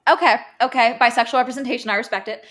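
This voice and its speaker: A young woman, a podcast guest, discussing books casually with animated, sarcastic retelling. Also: fairly high pitch, racing. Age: young adult. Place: living room, indoors.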